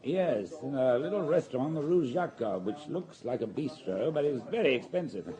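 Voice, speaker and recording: A man's voice: medium pitch, expressive, fairly quick, a crowd in the background, lightly acted. A man playing a loyal, matter-of-fact police subordinate giving methodical reports in a radio drama, with voices in the background. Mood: businesslike.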